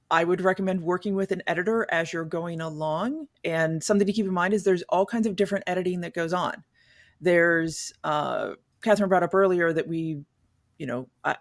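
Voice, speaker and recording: A woman's voice: lowish voice, dry recording. Woman editor explaining stuff on a podcast, upbeat, super dry sound. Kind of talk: casual podcast chat.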